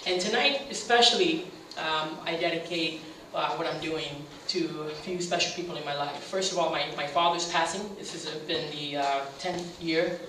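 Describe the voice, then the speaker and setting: A woman who hosts a radio show gives an earnest, heartfelt speech at a community center, halting on fillers and then swelling with emphasis on key phrases. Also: sits low, fairly bright tone. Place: meeting room at a community center. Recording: very echoey room from a distant mic, faint hiss.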